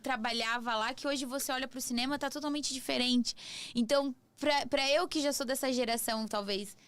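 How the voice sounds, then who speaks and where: A woman's voice: sits high, fairly even intonation, brisk, a bit bright, clear, resonant tone, no echo. A woman hosting a podcast in casual chat, asking questions and reacting enthusiastically.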